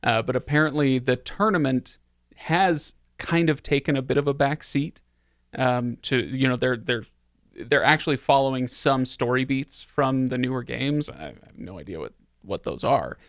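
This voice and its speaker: A man's voice: mid-range voice, normal speed, a bit bright, dry recording, clean studio sound. A man hosting a podcast, talking casually in a wry, rambling way about film. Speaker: podcast host.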